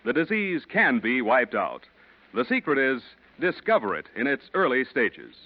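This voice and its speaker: A man's voice: mid-range voice, big pitch swings, normal speed. A man with an American accent announcing in polished, emphatic, scripted 1940s radio style. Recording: muffled 1940s radio broadcast.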